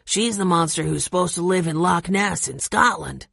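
A woman's voice: lowish voice, moderate pace, vocal fry, a bit bright, slightly rough, exaggerated voice. A woman voicing a teenage older brother with a matter-of-fact delivery. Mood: plain.

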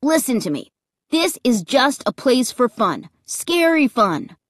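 Woman as thrill-loving boy, cocky, eager, emphatic, high-pitched, animated, children's audiobook character. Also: slow, dry recording, clean studio sound, full character voice. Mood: insistent.

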